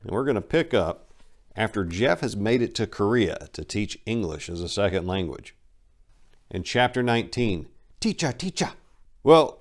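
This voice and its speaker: A man reading a memoir aloud as host, with a theatrical, emphatic delivery. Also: on the low side. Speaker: channel host and reader.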